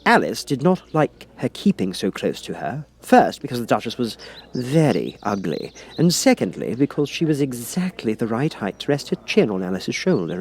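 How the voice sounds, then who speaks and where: A man's voice: medium pitch, a little mellow. A man narrating a children's classic as an audiobook reader, in scripted storybook style.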